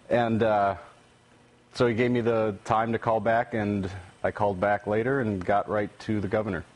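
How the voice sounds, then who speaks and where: A man speaks as an interview guest in a slightly low voice. His manner is dry and sardonic, with hesitant phrasing as he recounts things. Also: level tone, moderate pace. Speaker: interview guest, editor and prank caller.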